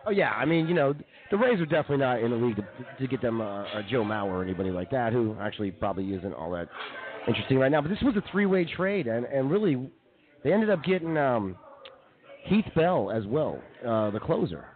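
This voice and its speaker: Fast-talking American man, tinny audio. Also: medium pitch, lively intonation. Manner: colloquial, opinionated. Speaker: radio co-host and baseball commentator. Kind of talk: casual sports chat. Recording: tinny band-limited live broadcast.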